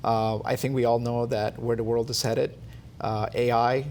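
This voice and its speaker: A man born in Iran, a company founder answering interview questions in a measured way with many fillers. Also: medium pitch, flat delivery, darkish tone, a little hiss or hum. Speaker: tech company CEO, guest.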